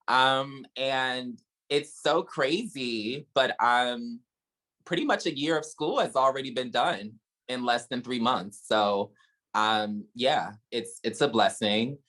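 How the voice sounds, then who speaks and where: A young man hosting a casual podcast chat, animated, laughing often and swearing playfully. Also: mid-range voice, slow pace, rough recording. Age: young adult. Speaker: podcast host.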